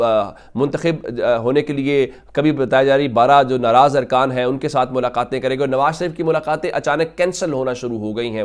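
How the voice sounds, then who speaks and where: A man with a Pakistani accent giving political news commentary to viewers as a commentator, emphatic and fond of rhetorical questions. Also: medium pitch.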